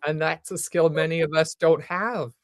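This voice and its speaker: A woman's voice: low-pitched, steady tone, moderate pace, no room sound, studio quality. A woman hosting a live talk show, effusive and enthusiastic as she chats with her guest. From United States. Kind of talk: live interview chat.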